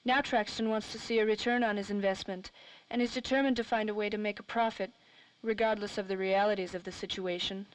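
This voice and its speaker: A woman acting a worldly noir dame of old-time radio, cool, wry and guarded. Her voice sits at a middle pitch in a very dry recording. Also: fairly even intonation, brisk, dry recording, lightly acted, low-quality recording. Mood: explaining, composed. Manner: wry, slangy. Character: cool, worldly, secretive 1930s noir femme fatale.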